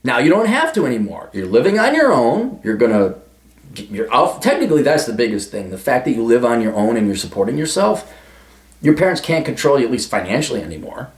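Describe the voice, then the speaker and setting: Blunt, profane male advice vlogger; lively delivery, roomy echo. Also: mid-range voice, brisk. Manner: crude, emphatic, swears freely. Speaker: advice vlogger answering a listener letter.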